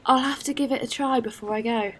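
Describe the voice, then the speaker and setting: High-voiced, earnest young-sounding spacefarer woman acting. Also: steady tone, a little fast, a little hiss or hum, lightly acted. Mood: worried. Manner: hesitant, earnest. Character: caring, earnest female space traveler, a little unsure. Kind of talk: audio drama acting.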